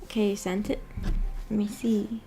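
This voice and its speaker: A woman with a mid-range voice talks casually as the host of a livestream book club. She rambles in an unhurried, giggly way, responding to comments as they come in. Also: mid-range voice, level tone, creaky, mellow, a little hiss or hum, low-quality recording. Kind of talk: casual livestream chat, replying to viewer comments.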